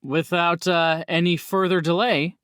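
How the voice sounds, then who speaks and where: A man's voice: a little high, fairly even intonation, a little slow, a bit bright, smooth, dry recording, studio quality. A man hosting a tabletop role-playing game as game master, explaining rules and calling on players in a casual way.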